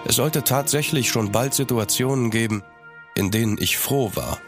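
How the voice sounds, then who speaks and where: An actor playing a gentleman traveller who narrates his journey in measured, literary audio drama style. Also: mid-range voice, over music, lightly acted. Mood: grave, reflective. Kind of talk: scripted first-person audio drama narration.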